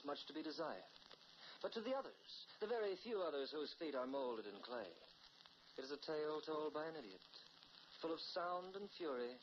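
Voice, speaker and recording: A dull vintage radio recording with faint noise carries an actor as a solemn mystery narrator. His fairly high male voice is grave, philosophical and portentous. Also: lightly acted.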